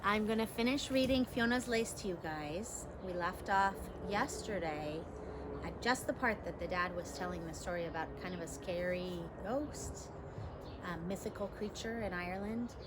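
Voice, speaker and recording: A woman teacher reading a storybook to pupils, expressive, with casual asides and questions to the young listeners. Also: mid-range voice, normal speed, noisy background. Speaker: elementary school teacher.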